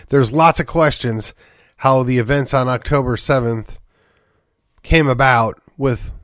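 A man's voice: medium pitch, slow pace, a bit bright, no echo, clean studio sound. A man hosting a solo political commentary podcast, congested from a sinus infection, rambling and wry with sighs and short laughs. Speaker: podcast host.

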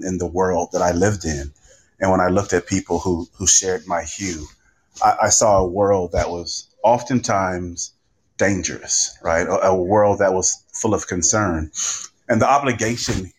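A man podcaster giving a reflective panel answer in an earnest, deliberate, emphatic way. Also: sits low, a little mellow, husky, poor audio.

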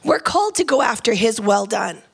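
A woman's voice: a little high, expressive, moderate pace, gravelly, breathy edge. A woman preaching a sermon, earnest and emphatic, often repeating phrases as she builds a point.

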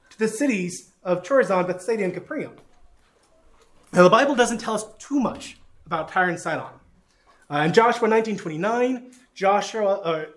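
A Southern man preaching from the Bible and pointing at a map as he teaches. His voice sits high for a man. He is folksy and pokes fun at himself, and he leaves plenty of uh's along the way. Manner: folksy, self-deprecating, with frequent uh fillers. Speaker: pastor.

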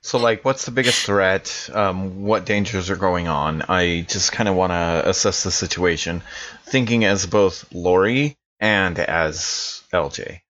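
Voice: low-pitched, unhurried, fairly bright tone, no room sound. A tabletop game player chatting casually at the table, on a slightly dull recording.

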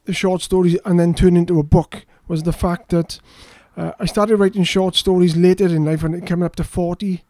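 A middle-aged man, a guest author on a podcast, telling relaxed stories about how he started writing. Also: sits high, moderate pace, few pauses, dry recording. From South Wales. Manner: relaxed, anecdotal storytelling. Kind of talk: casual interview. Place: podcast studio.

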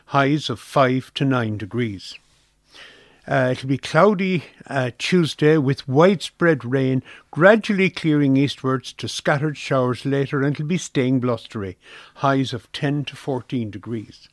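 A man's voice: medium pitch, a little slow, no echo, clean studio sound. A man with an Irish accent reading a scripted weather forecast for community radio.

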